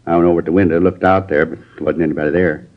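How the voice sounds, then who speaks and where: An actor playing a plain-spoken neighbor who gives a witness account in a folksy, rambling way, in a 1950s radio drama. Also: male voice, low voice, level tone, very fast, lightly acted. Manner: folksy, rambling, ungrammatical speech. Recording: dull lo-fi old radio recording.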